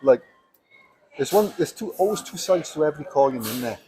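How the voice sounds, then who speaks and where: A man's voice: on the high side, animated, moderate pace, music in the background. A man co-hosting a casual chat, laid-back and rambling, with many fillers. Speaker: show co-host.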